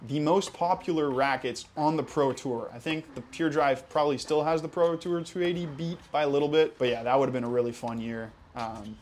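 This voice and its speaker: A man's voice: fairly high pitch, fairly even intonation, brisk, hardly any pauses, a little mellow, a little hiss or hum. A young man with an American accent, hosting a casual Q&A video and talking in a chatty, enthusiastic way with casual fillers. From Hawaii. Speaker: tennis channel host. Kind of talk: casual Q&A vlog.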